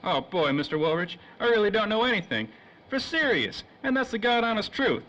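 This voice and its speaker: A man's voice: sits high, big pitch swings, fairly quick, bright, forceful tone, full character voice. An actor playing a nervous, eager young shoeshine boy in an old-time radio play, with earnest street-vendor patter. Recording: dull, faintly noisy old radio recording.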